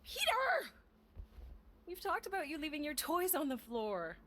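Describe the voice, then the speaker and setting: A woman playing a warm, teasing mother of a teenage boy in a video game, with a husky, breathy voice. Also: sits high, huge pitch swings, a little slow, exaggerated voice. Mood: playful teasing. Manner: teasing, affectionate. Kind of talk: naturalistic video game acting.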